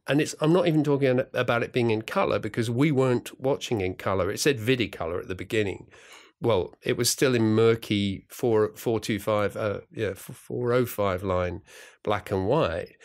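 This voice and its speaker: A man's voice: on the low side, moderate pace. Enthusiastic middle-aged man, rambling chat. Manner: enthusiastic, rambling with many restarts; playful, jokey. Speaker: guest, radio drama writer and director. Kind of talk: casual conversation.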